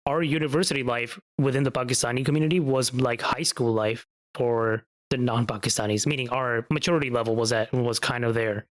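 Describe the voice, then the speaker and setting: A man hosting a casual interview, talking in a relaxed way with easy chuckles. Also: medium pitch, a little fast, hardly any pauses. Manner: relaxed, chuckles, frequent mm-hmm backchannels. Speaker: host.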